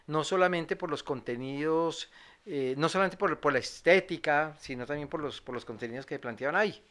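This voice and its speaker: Hesitant man, fairly high voice. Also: fairly bright tone. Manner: hesitant, searching for words. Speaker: interviewee. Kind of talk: reflective analysis.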